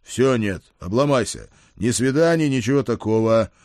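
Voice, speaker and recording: A man playing a weary, gruff old lord in an audiobook, dry, curt and sarcastic. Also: mid-range voice, expressive, moderate pace, darkish tone, no echo, close to an everyday voice. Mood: curt, sardonic. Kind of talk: audiobook character acting.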